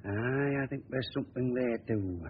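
An actor playing a blunt, dour middle-aged policeman in a 1940s radio drama, plain-spoken and gruff, on an old recording. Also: medium pitch, normal speed, lightly acted. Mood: matter-of-fact. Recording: dull, noisy 1940 radio recording.

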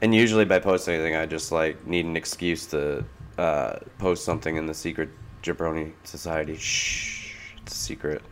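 A man's voice: a bass voice, normal speed, slight background noise. A man co-hosting a wrestling podcast, chatting playfully and teasing the others. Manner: playful teasing, lively delivery. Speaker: podcast co-host. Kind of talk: casual podcast chat.

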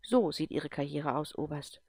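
A woman's voice: low voice, moderate pace, husky, no room sound, voice pushed down. Woman voicing a stern, commanding female general. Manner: clipped, forceful, brooks no argument.

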